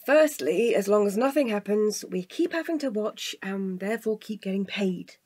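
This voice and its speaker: A woman acting a weary, cautious night-watch guard in a naturalistic audio drama, with a husky, measured, deadpan delivery. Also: medium pitch, no echo. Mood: dry, matter-of-fact.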